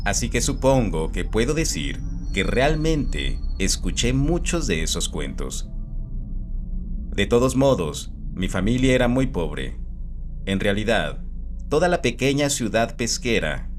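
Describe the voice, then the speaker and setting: A man calmly reading a scripted first-person horror story, steady and measured. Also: on the low side. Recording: soft background music.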